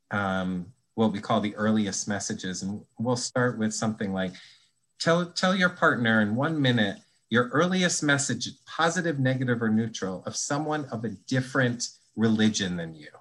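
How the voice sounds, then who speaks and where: Thoughtful man explaining in a relaxed interview. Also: on the low side, rough recording. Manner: thoughtful, explanatory, frequent restarts. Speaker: guest, anti-bias trainer. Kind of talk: conversational podcast interview.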